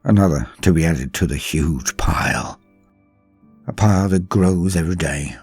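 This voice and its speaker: A man voicing a deep, husky, weary noble governor. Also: animated, a little mellow, no echo, faint music behind, heavily acted. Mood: grave concern. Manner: formal, grandiose, measured dramatic delivery. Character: weary proud noble planetary governor, man, grim and resolute. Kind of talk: dramatic first-person sci-fi audio story narration.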